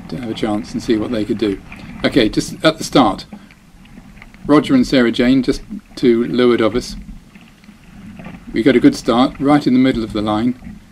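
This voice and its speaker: A man's voice: mid-range voice, fairly even intonation, moderate pace, darkish tone, a little hiss or hum, poor audio. A man narrating his own dinghy race, chatty and self-deprecating, often breaking off mid-phrase. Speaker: dinghy racing sailor. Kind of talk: voice-over commentary on race footage.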